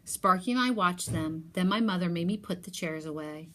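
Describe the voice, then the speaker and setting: A woman teacher reading a picture book aloud and talking to young pupils, expressive, with emphatic pauses. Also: lowish voice, a little hiss or hum.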